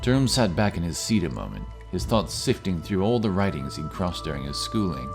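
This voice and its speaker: A man narrating a fantasy audio drama in a steady, even storytelling manner. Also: low-pitched, a little fast. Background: music.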